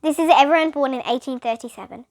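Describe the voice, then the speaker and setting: A woman's voice: high voice, fast talker, natural voice. A female reader voicing a strict, blunt, matter-of-fact woman schoolteacher in a children's storybook. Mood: brisk, superior.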